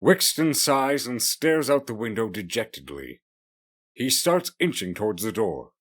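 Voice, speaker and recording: A man's voice: on the low side, sing-song, measured pace, fairly bright tone, dry recording. A man narrating a scripted story as a dramatic narrator. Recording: music behind the voice. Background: clear background music.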